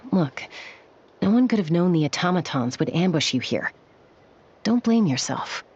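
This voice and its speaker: Assured young woman leader in an anime game: lowish, frank, very expressive, slightly muffled. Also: a little slow, slight background noise. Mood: reassuring. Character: level-headed, confident young woman leader, reassuring and inquisitive. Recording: slightly dull game capture with music.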